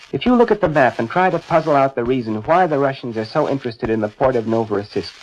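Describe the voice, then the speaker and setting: Man in muffled, noisy 1940s radio commentary. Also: mid-range voice. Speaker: war news analyst. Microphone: radio studio microphone.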